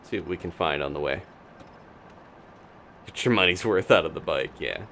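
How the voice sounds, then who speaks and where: A man's voice: sits low, animated, moderate pace, a bit bright, a little hiss or hum. A man doing casual live commentary on a video game as he plays, thinking aloud. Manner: thinking aloud, talking to himself and his game character.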